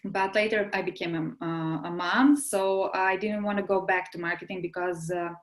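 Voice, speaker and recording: A woman from Serbia with a Serbian accent, a translator and interpreter introducing herself to a class, pausing often with filled pauses. Serbian is her first language. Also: fairly low pitch, steady tone. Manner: hesitant, many filled pauses. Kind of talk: self-introduction in an online class.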